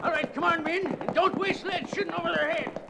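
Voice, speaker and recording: An actor playing an urgent, commanding American frontier sheriff in an old-time radio western. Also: male voice, very high-pitched, fairly even intonation, fairly quick, vocal fry. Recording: noisy vintage radio action scene.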